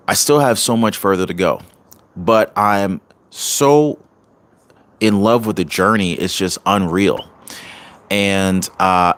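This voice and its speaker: Low-voiced man giving an upbeat pep talk. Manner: animated, motivational, many fillers and restarts. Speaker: channel host. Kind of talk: casual livestream talk. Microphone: home computer mic on a livestream.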